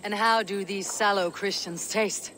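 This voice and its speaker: A blunt Viking warrior from a video game. The voice is high for a man, with a terse, commanding delivery and proud sternness. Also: steady tone, a little slow, sharp tone, slight background noise, poor audio. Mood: dry. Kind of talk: video game cutscene acting.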